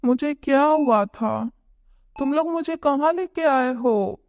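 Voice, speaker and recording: A woman's voice: high voice, takes it slowly, a little mellow, dull audio, slight background noise. A woman voicing an ailing, grateful village mother in a Hindi cartoon story, drawing out her words. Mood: dazed, weak.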